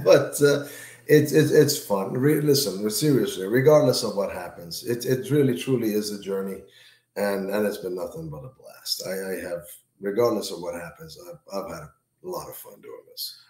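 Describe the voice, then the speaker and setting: Author guest, male, 60s, casual and rambling, lowish voice, roomy video-call audio. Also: lively intonation, a little slow, darkish tone. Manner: easygoing, many fillers and repeated words. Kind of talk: casual interview chat. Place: home room with plant, indoors.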